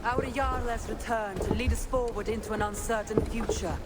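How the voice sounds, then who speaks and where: A woman's voice: a little high, fairly even intonation, moderate pace, lightly acted. A woman playing a formal, composed Viking stewardess addressing her clan in a game cutscene. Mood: formal, proud. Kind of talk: dramatic video game cutscene acting. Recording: loud music behind the voice.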